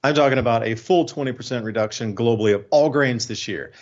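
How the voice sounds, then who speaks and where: A man's voice: medium pitch, fast talker, dull audio. A man hosting a radio show with informal, off-the-cuff commentary.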